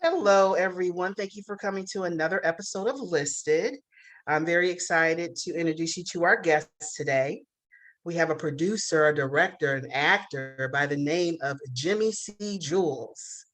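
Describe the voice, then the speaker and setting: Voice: mid-range voice, moderate pace, fairly bright tone. An upbeat host opening and running a casual interview, giving frequent short encouraging reactions.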